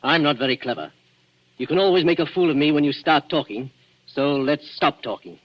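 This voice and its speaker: An actor playing a plain-spoken, desperate gentleman suitor in a 1940s radio drama, halting and emphatic. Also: on the high side, lightly acted. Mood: awkward, earnest. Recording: muffled, noisy 1940s radio recording.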